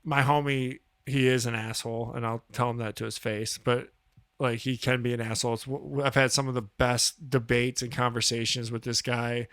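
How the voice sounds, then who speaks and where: Easygoing male co-host, mid pitch, dry close mic. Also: level tone, moderate pace, fairly bright tone, clean tone, no room sound. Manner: laid-back, agreeable, hesitant restarts. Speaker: podcast co-host. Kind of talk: casual chat.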